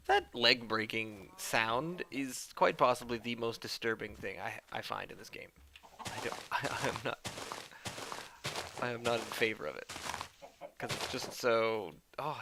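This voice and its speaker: Chatty man with a gravelly edge. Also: mid-range voice, background noise. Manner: chatty, self-deprecating, easily excited. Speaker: gamer commentator. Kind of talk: let's-play commentary.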